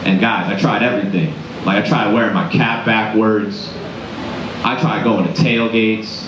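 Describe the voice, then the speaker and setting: A man from Boston with a deep voice doing a conversational stand-up comedy set, tossing quick asides to a live crowd. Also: fairly even intonation, background noise. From Boston area, United States. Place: comedy club stage before a live audience. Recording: roomy club sound, dull and lo-fi.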